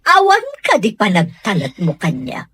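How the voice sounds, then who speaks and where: Woman acting husky, domineering suspicious wife. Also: medium pitch, wildly swooping pitch, moderate pace, fairly bright tone, full character voice. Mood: indignant. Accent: Ilocano. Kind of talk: Ilocano radio drama acting.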